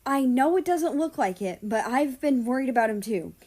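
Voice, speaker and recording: Audiobook role: female guardian spirit, bitter and self-blaming, pitched up, snapping sarcasm played by a woman narrator. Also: animated, moderate pace, darkish tone, slightly rough. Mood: exasperated, worried.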